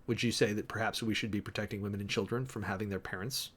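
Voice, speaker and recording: A man's voice: fairly low pitch, fairly even intonation, fast talker, a bit bright, slightly rough. A man giving a dramatic, emphatic reading of an official document, breaking off often for sardonic asides and commentary as host. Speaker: host, preacher.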